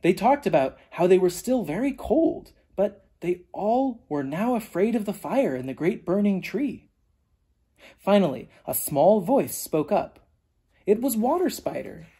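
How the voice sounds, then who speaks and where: Husky, expressive children's storyteller. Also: medium pitch. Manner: animated, deliberate storytelling with dramatic emphasis. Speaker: storyteller reading a children's book aloud, teacher. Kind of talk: expressive read-aloud narration for children.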